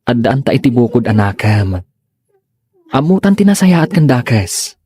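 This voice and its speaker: A man's voice: mid-range voice, sing-song, unhurried, warm tone, husky, no echo. A man acting an earnest, devoted young suitor of simple means in an Ilocano drama. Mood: loving, sincere. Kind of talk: Ilocano comedy radio-style drama acting.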